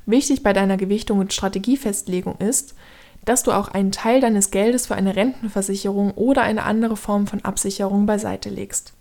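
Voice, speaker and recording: A woman's voice: medium pitch, fairly even intonation, a little fast, darkish tone. A woman aged thirty giving scripted explanatory narration as a podcast host, instructing the listener directly in a structured way.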